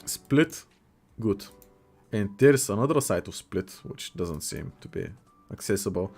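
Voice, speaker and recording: A man's voice: lowish voice, expressive, measured pace, darkish tone, slightly rough, soft background music. A young man hosting a casual livestream, chatty and jokey as he reacts to viewers' messages. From Bulgaria. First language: Bulgarian. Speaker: livestream host. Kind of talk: casual livestream chat, reading chat aloud.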